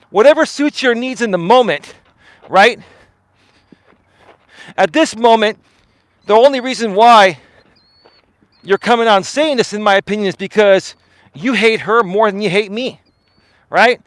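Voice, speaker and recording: A man with a fairly high voice rants to his live-stream audience, blunt and argumentative, and keeps qualifying his points. The sound is dry and close, with no room echo, captured on a mobile mic while he walks outdoors. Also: animated, unhurried, pauses often, fairly bright tone. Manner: blunt, argumentative, repeats "in my opinion". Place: outdoors on a walk.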